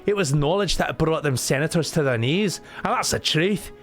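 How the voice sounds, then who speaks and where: A preachy Irish townsman who lectures the young about knowledge, as a man puts him on. He talks with lively swings in pitch, and faint game music plays underneath. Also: normal speed. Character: know-it-all townsman lecturing a youth.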